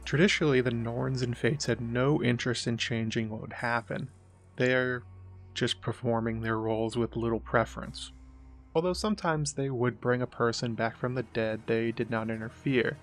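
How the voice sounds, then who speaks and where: A man's voice: medium pitch, moderate pace. Man narrating a scripted video essay. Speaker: host of a book and mythology analysis show.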